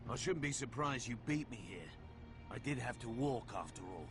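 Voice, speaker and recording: An actor playing a gruff, sarcastic male soldier with a British accent in a video game cutscene. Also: medium pitch, animated, moderate pace, natural voice. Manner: blunt, sardonic. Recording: game audio with music behind.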